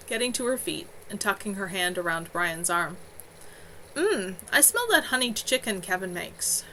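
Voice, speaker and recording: A woman narrating a novel as its author, in an expressive storytelling style with animated dialogue. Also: mid-range voice, a little hiss or hum, poor audio. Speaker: author reading own novel. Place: at home on a live stream.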